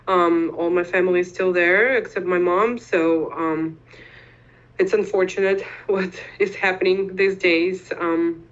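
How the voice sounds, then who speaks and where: A young woman from Eastern Ukraine, a podcast guest, speaking hesitantly with many fillers and pauses. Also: fairly low pitch, steady tone, muffled recording. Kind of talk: conversational interview.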